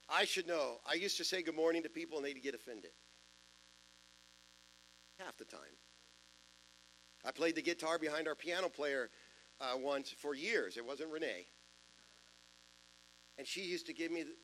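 A man in his fifties with a deep voice, preaching a sermon in a church in a conversational way, with self-deprecating jokes and asides. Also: sharp tone, a little hiss or hum, low-quality recording. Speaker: pastor. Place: church sanctuary.

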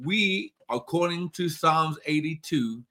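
A man's voice: fairly high pitch, animated, takes it slowly, sharp tone. A man co-hosting a faith talk show, speaking in an emphatic preacherly way and calling out to listeners. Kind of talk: preaching conversation.

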